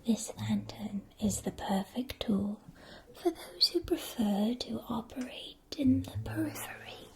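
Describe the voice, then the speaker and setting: Slow, whispering woman in ASMR roleplay. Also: a little high, expressive, low-quality recording. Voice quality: soft breathy whisper. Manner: slow, deliberate, carefully enunciated. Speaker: ASMR roleplayer as a witch school supply shopkeeper.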